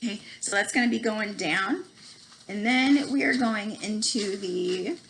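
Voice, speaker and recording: A woman in her forties has a cold and is losing her voice as she walks through a homemade lesson. She talks in a halting, casual way, hesitating often and tripping over long words. She speaks at an average pitch into a laptop webcam in a small home room, with a faint hiss in the background. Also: creaky, fairly bright tone, low-quality recording. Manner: halting, many ums, stumbles over terms. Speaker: student presenter.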